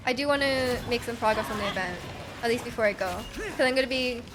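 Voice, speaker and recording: A woman live streaming a game, chatting casually with an excitable manner and often repeating her phrases. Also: a little high, fast talker. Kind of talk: casual live stream chat while playing. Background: game music.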